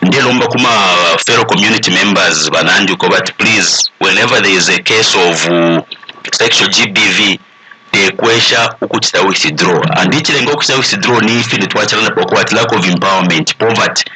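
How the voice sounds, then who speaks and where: A man's voice: sits low, a little fast, a crowd in the background, rough recording. A man with a Zambian accent speaking as an expert radio guest, making emphatic, repeated appeals and switching languages mid-sentence. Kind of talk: conversational advocacy in a radio interview.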